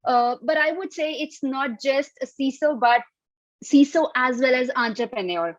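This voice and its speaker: A woman hosting an interview on a video call, introducing guests in a measured way with frequent fillers. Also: high voice, no room sound, muffled recording. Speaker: host.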